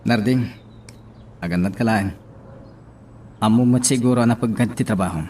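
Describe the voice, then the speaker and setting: A man's voice: fairly low pitch, normal speed, a little hiss or hum, natural voice. A man with an Ilocano accent playing a loyal, concerned friend in a radio drama. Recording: slightly distant, loud music underneath.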